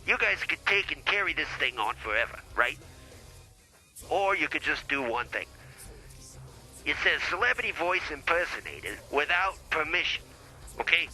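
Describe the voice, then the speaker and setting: Man playing a bright, blustering small-time lawyer. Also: on the high side, very animated, normal speed, long pauses, soft background music, exaggerated voice, low-quality recording. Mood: blustery advising. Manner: folksy, rambling, stumbles over legal words. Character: blustering male small-time lawyer advising podcast hosts. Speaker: lawyer character, show guest. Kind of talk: improvised comedy podcast banter.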